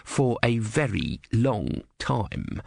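A man doing a small tortoise god with a dull, resigned mutter, sardonic and put-upon. The voice stays low-key and unhurried. It sits dry and close, with no room around it. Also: very animated, creaky, husky, no room sound, heavily acted.

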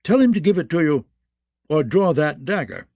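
A man's voice: a little high, very animated, normal speed, creaky, a little mellow, dry recording, dull audio, pitched above the performer's own voice, heavily acted. A man voicing a contemptuous, hot-headed nobleman swordsman with a sneering, goading delivery in a fantasy audiobook. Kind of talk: fantasy audiobook character acting.

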